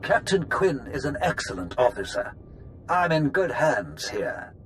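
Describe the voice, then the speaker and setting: Suave, swooping Sith schemer. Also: male voice, medium pitch, sing-song, measured pace, fairly bright tone, slight background noise. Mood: grave briefing. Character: suave scheming male Sith lord, cordially sly. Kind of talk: video game voice acting.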